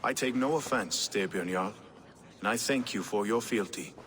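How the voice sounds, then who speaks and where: A man's voice: on the low side, fairly bright tone, a little hiss or hum, close to an everyday voice. Man playing a regal Norse king, echoing hall. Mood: measured, commanding. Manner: formal, deliberate, regal. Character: commanding, measured Norse king holding court. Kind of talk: dramatic scripted game acting. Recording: echoey hall with music underneath.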